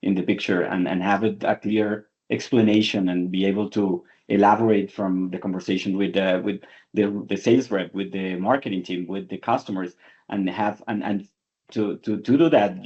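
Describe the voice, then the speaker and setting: Low-voiced male panelist, muffled audio. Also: fairly even intonation, darkish tone. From Colombia. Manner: halting, many restarts. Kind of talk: panel discussion.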